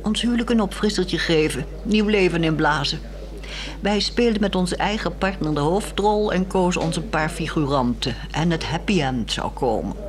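Actress as weary, resolute wife, measured and wry. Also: female voice, on the low side, crowd noise, lightly acted. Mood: disillusioned. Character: weary, resolute married woman in a secret affair. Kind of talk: 1960s radio drama acting.

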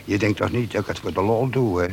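An actor playing a crafty, coarse prison convict in a radio play, slangy and cynical. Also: male voice, lowish voice, fast, a little mellow, a little hiss or hum, lightly acted. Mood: cynical, scheming. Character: crafty, coarse male convict, cynical self-styled man of principle.

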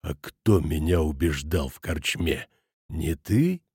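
A man voicing a furious, menacing brute in an audiobook, hissing and growling his drawn-out threats through clenched teeth. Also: lowish voice, husky, no room sound, clean studio sound, voice pushed down, full character voice.

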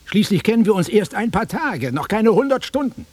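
An actor playing a bored, blunt middle-aged travelling salesman with a raspy voice in a naturalistic radio drama. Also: sits high, sing-song, normal speed, darkish tone, no echo. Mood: impatient, annoyed. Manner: offhand, dismissive, grumbling.

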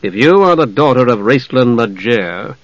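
A man voicing a cold, suspicious dark elf wizard with a quiet, sardonic manner, in a storybook reading. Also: medium pitch, lively intonation, normal speed, no echo, highs cut off, lightly acted. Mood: measured, probing.

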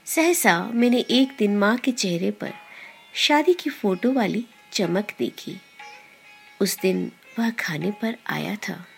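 A woman narrates like a podcast storyteller, taking her time over each phrase. Her pitch sits in a middle range for a woman, and her delivery is measured and deliberate. Soft music plays behind her. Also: expressive, vocal fry. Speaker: podcast host and story reader. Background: background music.

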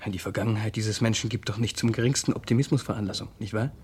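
An actor playing a wary, measured man in a radio crime drama, speaking formally and deliberately. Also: on the low side, darkish tone, slightly rough. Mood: warning, uneasy. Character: wary, measured man investigating a shady death.

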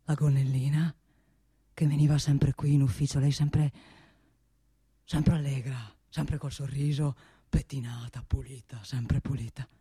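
A woman's voice: a bass voice, flat delivery, a little slow, dark tone, husky, dry recording, studio quality, full character voice. A woman playing an ageing, wealthy male boss in a comic radio monologue, self-satisfied and domineering, rambling and repeating with little questioning tags. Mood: wistful. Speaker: actor in a comic radio play.

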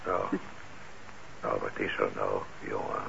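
An actor playing a kindly, gruff older shopkeeper and father in a radio drama, talking in a gravelly voice with hesitant pauses. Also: a bass voice, very animated, exaggerated voice. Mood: gentle, coaxing. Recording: dull, noisy old radio recording.

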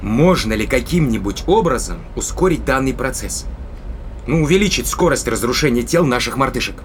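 An actor in an audio drama playing a determined spaceship captain who reasons emphatically and deliberately. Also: male voice, mid-range voice, lively intonation, a little hiss or hum, natural voice. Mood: calculating.

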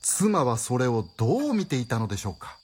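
A man's voice: medium pitch, very animated, brisk, a little mellow, husky. A man acting as a formal storyteller, narrating a radio melodrama in polite, theatrical, old-fashioned phrasing. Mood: solemn, storytelling. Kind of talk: scripted radio drama storytelling.

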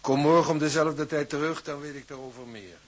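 A man playing a smug, coldly formal shipping company official in a radio drama, measured and unctuous. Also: fairly high pitch, lightly acted. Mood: smug approval. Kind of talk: Dutch radio drama acting.

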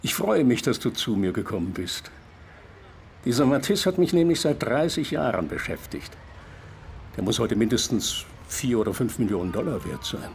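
A man's voice: medium pitch, sing-song, normal speed, gravelly, a little hiss or hum. An actor playing an elderly, erudite Cuban art critic in a German radio drama, musing and paternal as he savors his descriptions. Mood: enthusiastic. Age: older.

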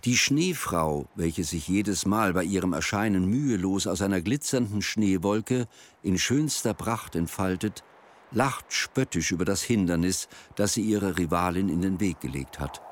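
A man narrating a folk tale for a radio drama in a measured, literary storytelling delivery. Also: low voice. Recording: music behind the voice. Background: music.

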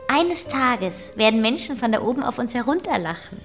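High-voiced, dreamy gentle lady. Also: lively intonation, moderate pace, music in the background. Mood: wistful. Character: dreamy, gentle lady, wife of an ailing aristocrat. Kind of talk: German literary radio play acting.

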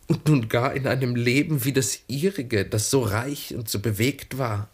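An actor playing an earnest, wistful lieutenant of twenty-three in a 1960s German radio play, speaking formally and gently probing. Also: male voice, mid-range voice, moderate pace, breathy edge. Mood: earnest, gentle. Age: young adult.

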